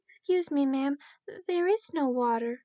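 A woman's voice: high voice, measured pace, mellow, no room sound, highs cut off. A woman voicing a timid, meek eight-year-old servant girl in a radio drama. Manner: meek, polite.

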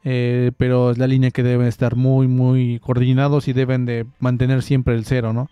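A man's voice: mid-range voice, completely flat delivery, normal speed, clean tone, no echo, dull audio. A young man giving a rambling, joking football analysis into a close studio mic in a small room. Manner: rambling, joking, many restarts. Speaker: football commentator. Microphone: studio mic close up with headphones.

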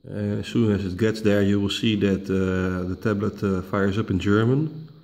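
A man who is not a native English speaker, walking through a tablet reset step by step, unhurried and full of "uh" fillers and restarts, recorded at home on a camera mic. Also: lowish voice, fairly even intonation, a little mellow. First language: not English, picks Dutch. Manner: unhurried, many uh fillers, self-corrections. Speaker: tech tutorial host. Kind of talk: slow step-by-step screen walkthrough. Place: home dining room, indoors.

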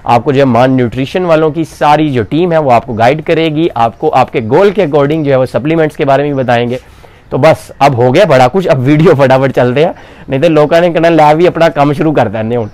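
A man's voice: fairly high pitch, fairly quick, a little mellow, no echo. A man in his twenties with a Pakistani Punjabi accent, explaining fitness in a chatty way to camera outdoors, on a clip-on mic. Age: 20s. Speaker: fitness coach and bodybuilder. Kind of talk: casual talk to camera. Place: outdoors in front of a brick wall. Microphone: clip-on lapel mic.

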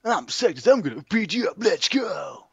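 A man's voice: high voice, very animated, moderate pace, gravelly, breathy edge, no echo, lo-fi sound, voice pushed up, full character voice. A man voicing a boastful, whining cartoon sword fighter, taunting and complaining in an improvised comedic dub.

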